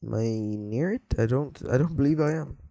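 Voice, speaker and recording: A man's voice: mid-range voice, normal speed, creaky, warm tone, dull audio, a little hiss or hum. A teenage boy giving casual, rambling commentary while playing a game, easily startled and joking with viewers. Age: teens. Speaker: gameplay commentator. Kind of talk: casual let's-play commentary.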